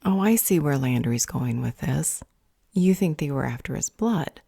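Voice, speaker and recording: Woman acting as a wry composed fantasy ally, low mellow voice, audio drama. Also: lively intonation, moderate pace, vocal fry, husky, dry recording, exaggerated voice. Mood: thoughtful. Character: level-headed, wry female ally in a fantasy fight.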